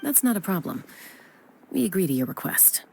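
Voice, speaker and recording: A woman's voice: mid-range voice, big pitch swings, moderate pace, gravelly, darkish tone. A woman playing a cool, composed, businesslike peacekeeper in a video game, direct and self-possessed. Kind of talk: English video game voice acting. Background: music.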